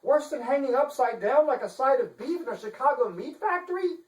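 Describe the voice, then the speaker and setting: A man's voice: very high-pitched, animated, brisk, pitched above the performer's own voice. A man voicing a stiffly genteel, dour woman chancellor with an affected manner in a dramatic novel reading. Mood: distressed, shocked. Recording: echoey room, distant microphone.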